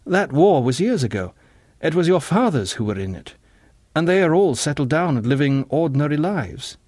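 A man's voice: fairly high pitch, very animated, moderate pace, a little mellow, no echo, studio quality, pitched above the performer's own voice. A man voicing an earnest, naive young man in an audiobook, hesitant and politely protesting. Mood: indignant.

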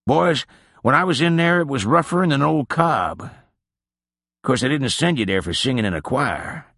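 Guy doing an old drunk, drawly hick talk, high and loud, rueful. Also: animated, moderate pace, no echo, clean studio sound. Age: older. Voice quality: drawling, rustic dialect. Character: aging Southern drinker, weary and wry male.

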